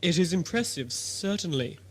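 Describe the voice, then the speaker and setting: A man playing a formal, commanding alien ambassador in an audio drama, measured and authoritative. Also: high-pitched, expressive. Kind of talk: radio drama acting.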